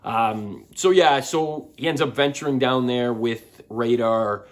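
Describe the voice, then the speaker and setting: A man giving a casual book review to his viewers, often repeating words and backtracking as he talks. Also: on the low side.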